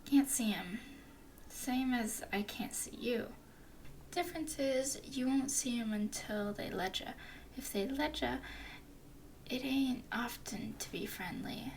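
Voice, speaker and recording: A woman voicing a flirty, teasing female werewolf explorer in an ASMR roleplay, speaking in a soft whisper with a playful drawl. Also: fairly high pitch, slow, slight background noise, rough recording.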